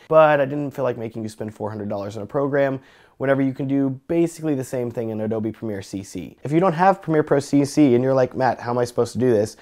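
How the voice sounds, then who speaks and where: Young man, slightly hoarse from a cold, fast talker, mellow voice, joking tutorial host. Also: medium pitch. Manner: joking, chatty, self-deprecating. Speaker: filmmaker teaching color grading. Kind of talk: casual tutorial talk.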